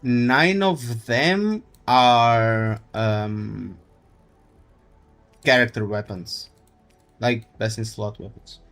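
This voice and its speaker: Slow, halting man chatting on stream. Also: mid-range voice, a bit bright, faint music behind. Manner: rambling, halting, thinking aloud. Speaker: live streamer. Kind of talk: casual gaming stream chat.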